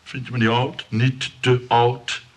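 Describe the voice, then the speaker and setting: A man's voice: mid-range voice, level tone, a little slow, lightly acted. A man acting a fussy older shopkeeper in a Dutch radio comedy, theatrical, repeating words, swinging between bluster and fawning. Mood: smitten.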